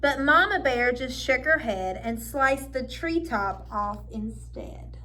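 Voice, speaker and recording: A woman reading a children's picture book aloud expressively, pausing for simple asides to listeners. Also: sits high, expressive, sharp tone.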